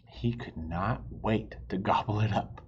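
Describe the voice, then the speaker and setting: A man reading a children's storybook aloud like a teacher, animated and stopping to ask the children questions. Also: lowish voice, a little slow, dull audio, a little hiss or hum.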